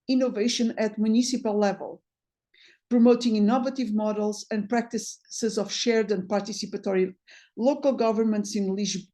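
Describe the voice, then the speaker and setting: A woman's voice: fairly high pitch, dark tone. A woman from Portugal, a native Portuguese speaker with a Portuguese accent, giving an academic talk over a video call, reading from notes with frequent restarts. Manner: halting, many restarts. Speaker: guest lecturer.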